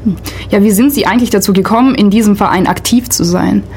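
A woman's voice: mid-range voice, fast, darkish tone, a little hiss or hum. A woman hosting a radio program, introducing her studio guest and asking friendly, prompting questions. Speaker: radio show host. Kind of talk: radio interview.

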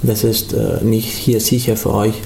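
A young man from Afghanistan, speaking German as an as a guest in a radio interview, halting and full of restarts. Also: fairly low pitch, level tone, vocal fry, mellow, roomy sound, slight background noise. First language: not German. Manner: halting, many restarts and fillers. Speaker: studio guest.

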